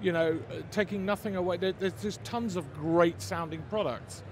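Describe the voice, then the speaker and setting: English guy at a trade show booth, kinda high voice, keeps restarting, lots of crowd noise. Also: normal speed. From England. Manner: hesitant, many repeated starts. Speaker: speaker company representative. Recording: noisy trade show floor.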